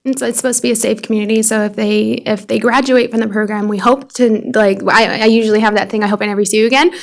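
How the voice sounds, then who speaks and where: A woman's voice: on the high side, fairly even intonation, fast talker, vocal fry. A woman therapist speaking at a public meeting, rambling a little with many fillers as she describes her work. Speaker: child therapist. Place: government board meeting chamber.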